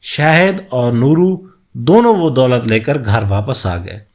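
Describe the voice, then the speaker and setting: A man narrating a scripted moral folk tale as a storyteller, in a measured and expressive delivery. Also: mid-range voice.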